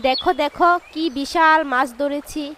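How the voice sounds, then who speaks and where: A woman's voice: high-pitched, steady tone, moderate pace, close to an everyday voice. A woman voicing a confident, boastful village wife, proud and competitive, in a cartoon fairy tale. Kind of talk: animated fairy tale voice acting. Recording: clearly noisy track with birdsong.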